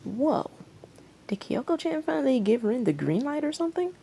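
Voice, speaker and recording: A woman's voice: sits high, very animated, normal speed, creaky, mellow, a little hiss or hum, pitched above the performer's own voice, full character voice. Woman voicing a laid-back, amused male actor. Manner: casual, teasing.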